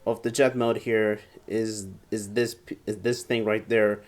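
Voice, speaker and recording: A man giving a casual hands-on review in a halting, enthusiastic way, repeating and restarting phrases and stressing key words. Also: fairly low pitch, level tone. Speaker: toy reviewer. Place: indoor room at home, seated at a table.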